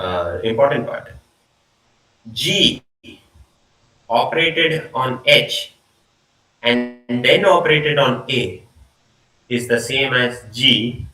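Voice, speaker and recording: A young man lectures on maths over a video call, his voice bright and a little distant from the laptop mic. The room gives it a clear echo. He talks informally, restarting words and letting sentences trail off. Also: mid-range voice. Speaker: student lecturer. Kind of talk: online math lecture. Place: small room indoors. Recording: echoey video call audio.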